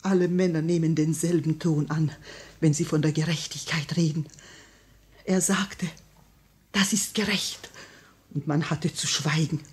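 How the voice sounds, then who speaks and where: An actress playing a proud, desperate widowed grand duchess in a 1950 radio play, solemn, intense and mournful. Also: female voice, sits low, steady tone, unhurried, pauses often, darkish tone, husky, heavily acted. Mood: bitter.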